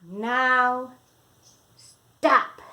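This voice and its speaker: A grandmother voicing a bossy, wild little storybook boy, loud and commanding with drawn-out words. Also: high-pitched, fairly even intonation, heavily acted. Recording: roomy echo, faint background noise.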